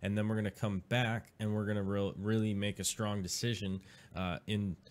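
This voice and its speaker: A man's voice: lowish voice, flat delivery, normal speed. A man hosting a casual live stream, rambling with many fillers and restarts. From Pacific Northwest, USA.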